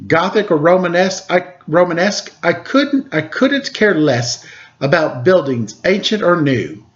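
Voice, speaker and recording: A man's voice: fairly high pitch, animated, unhurried. Man playing a stern schoolteacher woman, dull audio. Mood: stern, dismissive. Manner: haughty, dismissive lecturing. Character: stern second-grade woman teacher who hates architecture. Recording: dull lo-fi sound.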